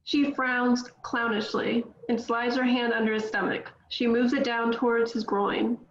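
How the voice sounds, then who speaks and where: A woman's voice: a little high, level tone, a little slow. Woman reading stage directions, echoey. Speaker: script reader. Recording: echoey room, dull lo-fi sound.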